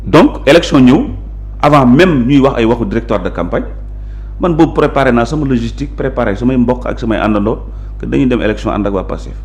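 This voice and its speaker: A man's voice: medium pitch, lively intonation, fast, a little mellow. A man with a Senegalese accent, a guest in a conversational interview, explaining his positions in an assertive, emphatic way. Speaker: interview guest, local politician. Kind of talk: conversational interview, mixing Wolof and French.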